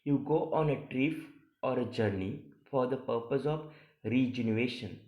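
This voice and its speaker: A male college teacher reads practice passages aloud for a lesson. His delivery is deliberate and a little halting, with phrases repeated. His mid-pitched voice rings with the echo of the room. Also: lo-fi sound. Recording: echoey room sound.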